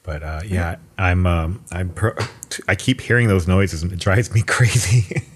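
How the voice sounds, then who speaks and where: A man's voice: deep voice, unhurried, breathy edge. A man co-hosting a podcast in casual chat, rambling with frequent restarts and joking asides, speaking into a desk mic pointed up at his mouth. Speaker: podcast co-host. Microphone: desk mic pointed upward at his mouth.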